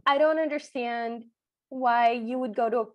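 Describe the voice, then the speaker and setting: A woman's voice: a little high, steady tone, normal speed, muffled recording. A woman co-hosting a podcast, recapping a film in a casual, joking way, wry and peppering her talk with "like". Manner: wry, uses "like" often. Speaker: podcast co-host.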